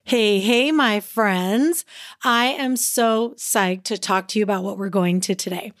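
A woman hosting her own podcast, talking alone in an upbeat, chatty way, with a slightly raspy voice and frequent laughs. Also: medium pitch, measured pace, dry recording, clean studio sound. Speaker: podcast host. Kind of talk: solo podcast monologue.

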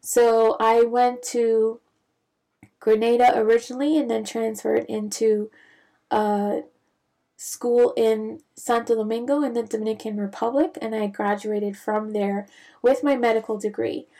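A young woman from the Bahamas, a physician, telling her career story in a reflective, measured way with frequent fillers. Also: a little high, flat delivery, dark tone. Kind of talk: casual personal storytelling.

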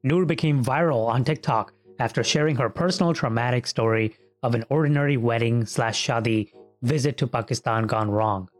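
A man hosting a podcast interview at a middle pitch. He opens with a scripted read, then moves into easygoing questions. He keeps an even, everyday tone. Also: moderate pace. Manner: reads a scripted intro, then asks friendly conversational questions. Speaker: podcast host.

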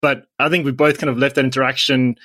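A man's voice: medium pitch, flat delivery, fast talker, sharp tone. A man from South Africa talks casually as an interviewer, in a reflective, agreeable way. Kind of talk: casual conversation.

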